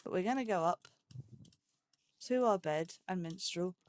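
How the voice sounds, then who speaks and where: A woman's voice: lowish voice, measured pace, a little mellow, slight background noise. A woman giving casual, chatty let's play commentary as a gaming host, thinking aloud as she goes. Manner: thinks aloud, chatty, talks to game animals.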